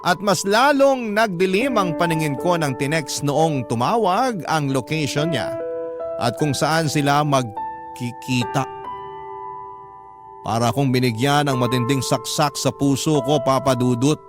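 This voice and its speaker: A man narrating a scripted first-person story as a radio host, emotive and measured, with dramatic pauses. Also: a little high, expressive. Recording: music bed under the voice.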